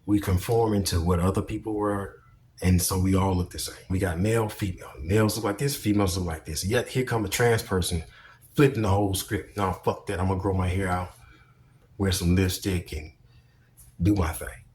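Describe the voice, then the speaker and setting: A man's voice: low-pitched, steady tone, brisk, a little mellow, a little hiss or hum. A man giving casual opinion commentary as a vlogger, speaking bluntly and emphatically with plenty of swearing.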